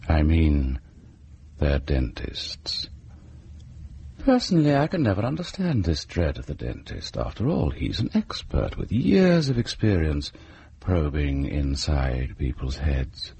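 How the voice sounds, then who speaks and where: An actor playing a sinister, sardonic host of horror tales in a radio drama, theatrical and mock-reassuring. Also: male voice, rumbling low, wildly swooping pitch, warm tone, full character voice. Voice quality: gravelly, husky. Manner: slow-relishing, mock-reassuring. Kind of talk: theatrical scripted horror introduction.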